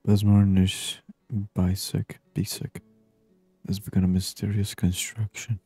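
A man doing soft-spoken ASMR gaming commentary, murmuring and musing aloud to himself close to the microphone. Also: lowish voice, monotone, unhurried, slightly rough, no room sound, soft background music. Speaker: gaming channel host. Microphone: close microphone, dry.

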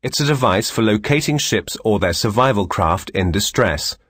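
Male instructional narrator, lower register, measured formal delivery, very dry close studio sound. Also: expressive, fairly bright tone, husky, dry recording.

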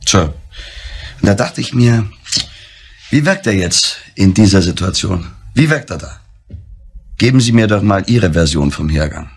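An actor playing a blunt, probing, sceptical reporter with a gravelly, husky voice in a crime drama. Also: male voice, low-pitched, lively intonation, unhurried, long pauses, heavily acted. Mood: cool, blunt. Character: blunt, sceptical male reporter sizing up a detective.

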